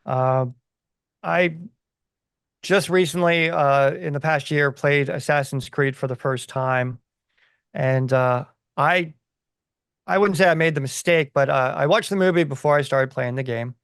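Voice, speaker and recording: A man co-hosting a podcast in casual discussion, explaining his opinions in a halting, conversational way. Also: on the high side, creaky, fairly bright tone, clean tone, no room sound, clean studio sound. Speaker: podcast co-host.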